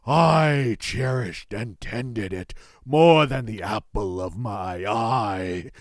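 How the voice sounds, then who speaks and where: Guy doing a big savage storybook beast, roaring, grand old-time talk, slow n menacing, dry sound. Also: medium pitch, no echo. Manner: archaic, grand, menacing declamation. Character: savage hairy monster, wrathful lord of palace and garden.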